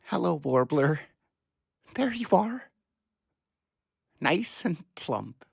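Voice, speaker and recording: A middle-aged man playing an anxious, doting father in an audio play, speaking in a fretful, tender, halting way. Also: on the high side, big pitch swings, creaky, husky, exaggerated voice. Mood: relieved. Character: anxious, doting father fretting over his grown daughter.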